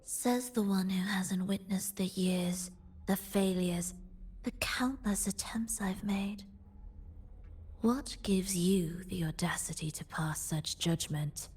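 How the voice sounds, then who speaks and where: An actress playing a proud, obsessive and haunted antagonist in a video game, speaking in a measured, haughty and sometimes breathy way. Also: female voice, medium pitch, darkish tone. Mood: cold, bitter. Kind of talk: dramatic video game voice acting.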